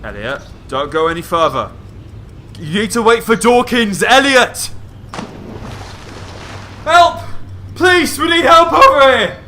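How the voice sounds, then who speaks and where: A man's voice: very high-pitched, sing-song, takes it slowly, pauses often, pushed and bright, slight background noise, exaggerated voice. A man acting an anxious, cautious workman in an audio drama, urgent and pleading. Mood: panicked. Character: anxious, cautious male mill worker pleading for patience.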